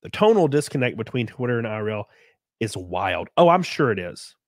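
A man in his thirties hosting a casual live stream from a small home room, close on a boom mic, joking crudely and sounding congested from a cold. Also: big pitch swings, brisk, no room sound. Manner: crude, joking, laughs often. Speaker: livestream host. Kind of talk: casual live-stream chat reading donations. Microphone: studio mic on boom arm, close.

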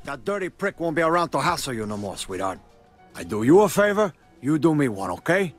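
A man's voice: fairly high pitch, big pitch swings, fairly quick, soft background music. A man voicing a brash, profane Cuban drug lord in a video game cutscene, with a swaggering Cuban accent. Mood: confident dealmaking.

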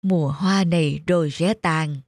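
A woman's voice: deep voice, fairly even intonation, moderate pace, a little mellow, dry recording, studio quality. A woman voicing a sorrowful, withdrawn old widower in a dubbed cartoon. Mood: tender. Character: grieving old bearded widower father. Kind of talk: cartoon dubbing.

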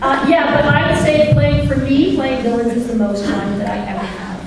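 A woman on a voice actor panel, bubbly and animated, her voice worn down by a recent strep throat. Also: high voice, fairly quick. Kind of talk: panel Q&A answers. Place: large echoey lecture room. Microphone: handheld mic, filmed from far off. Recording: echoey room taped from far off with crowd noise.

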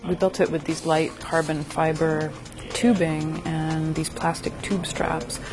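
A woman's voice: low voice, little pitch movement, a little slow, music in the background. A middle-aged woman, an engineering professor, explaining her work in interview answers. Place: indoor meeting room.

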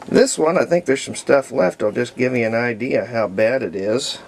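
An American man in his fifties narrates hands-on repair work unscripted, thinking aloud in a halting way as he goes. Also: mid-range voice, a little mellow. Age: 50s. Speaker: hobbyist radio restorer. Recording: clear background noise.

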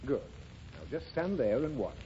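A man's voice: medium pitch, sing-song, moderate pace, warm tone, natural voice. A man playing an exasperated, easily flustered father in an old radio comedy, explaining things fussily. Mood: flustered, irritated. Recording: muffled, very noisy old radio recording.

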